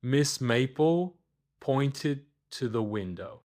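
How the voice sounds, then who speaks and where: A man's voice: mid-range voice, animated, slow pace, a little mellow. A man reading a scripted children's bedtime story as its narrator.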